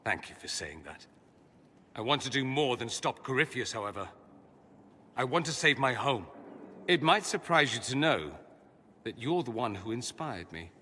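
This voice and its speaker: A refined young mage from a decadent empire, bright-voiced and sardonic. His delivery swings widely in pitch, theatrical and learned, as game cutscene acting. It rings in a long hall reverb. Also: sing-song, normal speed, pauses often, a little hiss or hum. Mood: earnest, reflective. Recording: reverberant hall with background ambience.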